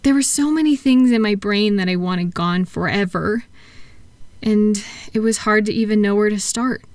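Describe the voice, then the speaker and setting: A woman playing a kind, patient therapist in a relaxation roleplay audio, speaking softly and gently in an unhurried, reassuring way. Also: medium pitch, no echo, lightly acted. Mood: sympathetic, gentle. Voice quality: soft-spoken, gentle. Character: kind, patient female thought-calming therapist soothing a client. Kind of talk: soft-spoken roleplay relaxation audio for sleep.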